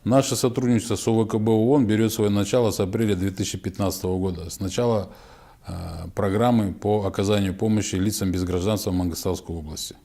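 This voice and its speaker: A man's voice: on the low side, fairly even intonation, quick pace, warm tone. A man as a regional official giving a formal, bureaucratic statement in a documentary interview, with frequent hesitations.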